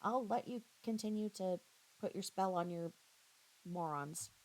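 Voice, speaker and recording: A woman giving critical, confrontational reaction commentary, scornful and halting, often repeating her phrases. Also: mid-range voice, darkish tone, rough recording. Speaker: commentator reacting to another creator's livestream.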